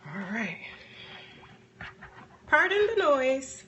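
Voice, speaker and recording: A woman chatting casually on a live stream as she demonstrates a craft, unhurried and thinking aloud as she works. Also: high-pitched, big pitch swings. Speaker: soap maker hosting a live stream. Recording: background noise, live phone stream.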